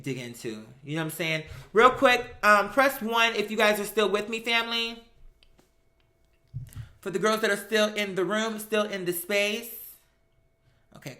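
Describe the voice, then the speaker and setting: This is a bright, forceful voice with an American accent, belonging to someone hosting a live stream. The delivery is casual, sassy and emphatic storytelling, full of slang and acted-out exchanges. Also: medium pitch.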